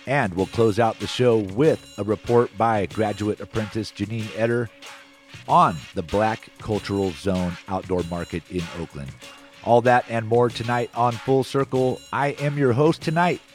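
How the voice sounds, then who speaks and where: A man's voice: fairly low pitch, a little slow. A man with an American accent hosting a radio magazine show, reading from a script. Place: radio broadcast. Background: music bed.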